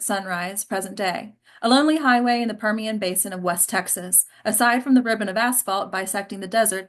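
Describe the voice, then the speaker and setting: A woman reading stage directions aloud as the narrator at a script table read. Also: medium pitch.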